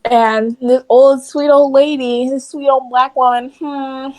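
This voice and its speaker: A woman's voice: high voice, a little slow. Young woman rambling through a casual story. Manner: rambling, animated retelling with acted-out dialogue and swearing. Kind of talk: casual spoken movie recap to friends.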